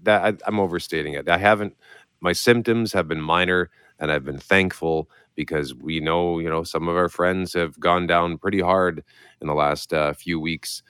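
A radio host, talking softly from his apartment while getting over a mild cold. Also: fairly even intonation, moderate pace, clean tone, no room sound, clean studio sound. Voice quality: deep. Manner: quiet, low-key, speaking softly. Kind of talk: morning radio talk. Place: his apartment, working from home.

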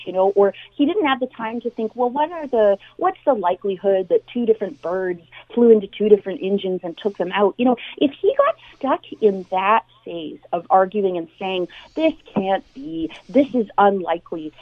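A woman's voice: medium pitch, no echo. Canadian woman on a thin phone line. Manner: earnest, explanatory with everyday examples. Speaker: expert guest, doctor. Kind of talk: radio interview, conversational explaining. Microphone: phone call into a radio show.

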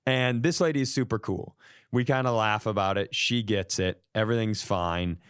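A man's voice: fairly low pitch, normal speed, clear, resonant tone, dry recording. Man riffing comically, mock-indignant, close dry sound. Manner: mock-indignant, profane, acting out quoted exchanges. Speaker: podcast host telling a personal story. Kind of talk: comic anecdote, casual banter.

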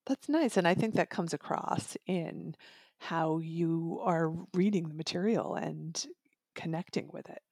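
A woman's voice: sits low, measured pace, darkish tone, breathy edge, no echo. A woman hosting an interview show about audiobooks, presenting and asking questions. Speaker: interview host.

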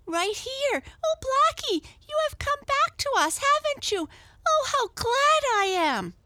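A woman voicing an excitable, affectionate little girl in a children's storybook, with eager, gushing exclamations. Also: medium pitch, unhurried, noisy background, pitched above the performer's own voice, natural voice. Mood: amazed joy. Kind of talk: children's storybook character reading.

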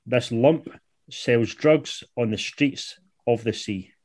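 A man's voice: mid-range voice, takes it slowly, darkish tone. A man, hosting a casual podcast chat and reading out riddles, laid-back and sweary. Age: 20s. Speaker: podcast host.

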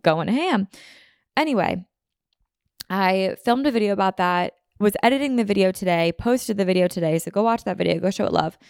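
A woman's voice: mid-range voice, creaky, clean tone, no echo, clean studio sound. Chatty young American woman, sniffly. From Philadelphia suburbs, USA. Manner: rambling, heavy use of "like", self-interrupting. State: sniffly, slightly runny nose. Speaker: podcast host. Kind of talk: casual chatty podcast monologue. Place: apartment room. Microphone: podcast mic on video.

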